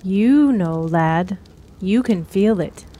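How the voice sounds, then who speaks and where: An actress as a sorrowful witch of a fantasy radio drama, speaking in measured, archaic riddles at a middle pitch. She sounds knowing and grave, with some noise behind her. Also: animated, warm tone. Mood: ominous, resigned. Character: cryptic, sorrowful wise woman, a witch who counsels youths.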